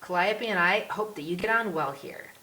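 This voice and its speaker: A woman's voice: fairly low pitch, normal speed, pushed and bright. A woman voicing a genial English policeman in an audiobook, kindly, folksy and teasing with children. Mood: warm teasing. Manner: jovial, avuncular, folksy. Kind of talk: audiobook character voice. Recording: roomy echo with clear hiss.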